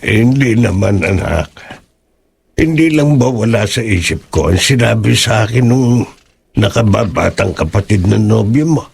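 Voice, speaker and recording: An actor playing a concerned older father, a man with a raspy voice and a serious, hesitant manner. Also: on the low side, animated, moderate pace, a little mellow, heavily acted. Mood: hesitant, pensive. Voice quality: gravelly, raspy. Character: concerned, gentle older father of grown daughter.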